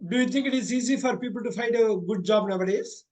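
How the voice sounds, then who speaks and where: A man's voice: sits high, fast talker, darkish tone. A man with an Indian English accent tutoring students through a mock speaking exam, repeating his praise often.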